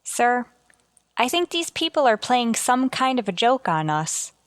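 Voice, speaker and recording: An actress playing an earnest, deferential starship communications officer in a sci-fi radio drama. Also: female voice, a little high, very animated, measured pace, no room sound, clean studio sound. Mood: earnest, puzzled. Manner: earnest, respectful, careful.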